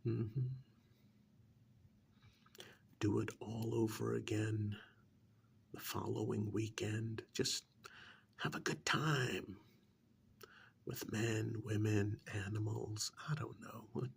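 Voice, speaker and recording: A man playing a calm, menacing killer in a soft-spoken ASMR horror role-play, hushed and deliberate, with a condescending, musing manner. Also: fairly low pitch, exaggerated voice. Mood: cold, scolding. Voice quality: breathy half-whisper with gravelly creak. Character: calm, menacing male killer who preserves victims as art.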